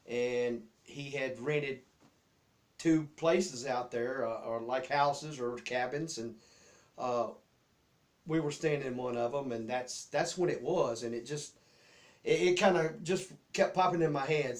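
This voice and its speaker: A middle-aged man with a Southern US accent casually tells personal stories in a rambling, folksy way, often repeating his words. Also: mid-range voice. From Memphis, Tennessee area. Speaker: host telling childhood memories.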